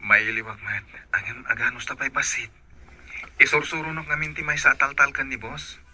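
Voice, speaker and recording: A man's voice: mid-range voice, fairly even intonation, brisk, sharp tone, a little hiss or hum. A man acting an affectionate young husband working abroad, with a Filipino accent, in a radio drama. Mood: apologetic, tender.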